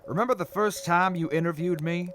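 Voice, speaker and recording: An actor playing a proud, earnest mercenary major in a post-apocalyptic audio drama, reflective and a little hesitant. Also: male voice, sits high, a little fast, background noise, natural voice. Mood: reminiscing.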